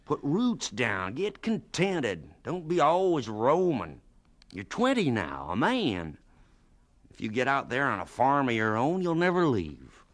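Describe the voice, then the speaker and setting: A gruff, kindly farm employer in a radio play. He talks bluntly and persuasively in folksy country phrases, his middle-pitched voice swinging with animation. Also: wildly swooping pitch, unhurried, fairly bright tone. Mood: urging, warm. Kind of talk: radio drama acting.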